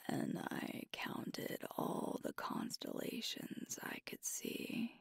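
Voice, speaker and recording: A woman voicing a sweet, tender werewolf girlfriend in an ASMR roleplay, speaking in a soft, breathy whisper with gentle, intimate storytelling. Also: takes it slowly, dry recording, clean studio sound, natural voice. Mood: gentle nostalgic.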